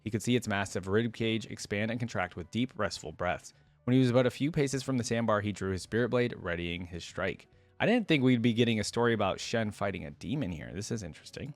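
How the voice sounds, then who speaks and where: A man's voice: fairly low pitch, animated, fast talker, no echo, soft background music. A man hosting a reaction video, speaking informally as he comments and reads along. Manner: informal, thinking aloud. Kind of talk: casual reaction commentary mixed with reading a story aloud.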